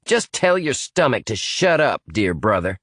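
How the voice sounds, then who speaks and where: A man's voice: lowish voice, wildly swooping pitch, normal speed, slightly rough, no room sound, clean studio sound, heavily acted. A man voicing a gleeful, cruel young woman villain who barks at others. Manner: barking, gleeful.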